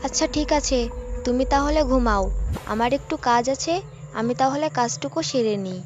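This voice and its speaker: A woman's voice: high voice, moderate pace, natural voice. A woman playing a nagging village housewife in a cartoon, with a scolding, exasperated way of talking. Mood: wifely reminding. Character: nagging village housewife, complaining about chores and money. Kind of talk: Bengali cartoon voice acting. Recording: dull lo-fi audio under clear music.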